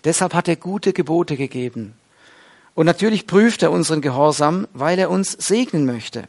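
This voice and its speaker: A man's voice: a little high, lively intonation, moderate pace, vocal fry, a little mellow. A middle-aged man preaching a sermon, explaining a Bible passage in an earnest way with frequent hesitations.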